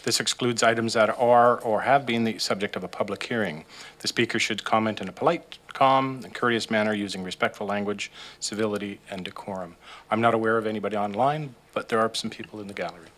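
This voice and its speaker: Staff officer, male, bright and forceful tone, lowish pitch, plain agenda briefing. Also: quick pace. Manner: plain, some fillers and restarts. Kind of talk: procedural briefing. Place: council boardroom. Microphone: desk microphone.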